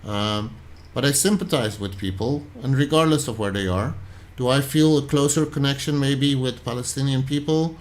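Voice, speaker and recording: A man from Iran hosts a livestream, talking casually and loosely, with his thoughts wandering as he reacts to viewers' comments. His voice sits in a middle pitch, and his phrases often trail off or restart. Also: normal speed. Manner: rambling, thinking aloud, reacting to chat. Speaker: livestream host.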